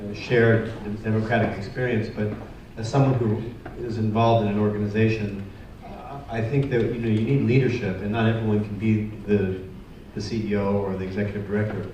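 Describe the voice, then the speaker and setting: A man in the audience putting a measured question to the panel. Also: on the low side, level tone, a little mellow, slight background noise. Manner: hesitant start, then measured. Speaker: audience member asking a question. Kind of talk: question from the floor. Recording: echoey hall, distant muffled mic.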